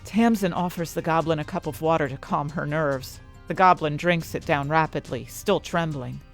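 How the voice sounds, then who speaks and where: A woman playing an ambitious, conflicted scientist who narrates her own story with dramatic, deliberate phrasing. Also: low voice, soft background music. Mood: tense narration. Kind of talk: first-person audio fiction narration.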